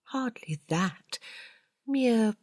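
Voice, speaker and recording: Woman voicing an eager, inquisitive young gentlewoman, lightly raised. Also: fairly high pitch, lively intonation, very slow, dry recording, clean studio sound, voice pushed up, exaggerated voice. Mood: proud, modest. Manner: polite, keen.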